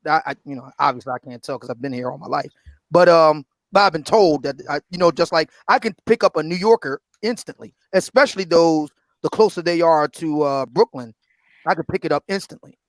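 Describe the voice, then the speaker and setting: Philly man, fifties, slightly high voice, chatty panel host, dry close sound. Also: brisk, no room sound. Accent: Philadelphia. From Philadelphia. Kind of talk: casual livestream chat.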